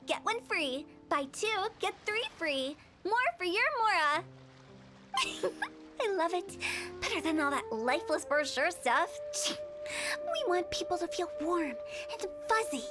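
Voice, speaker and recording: A woman playing a quirky, eager young female funeral parlor director in a video game, with a playful, salesy confidence. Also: extremely high pitch. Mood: gleeful. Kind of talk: video game character acting.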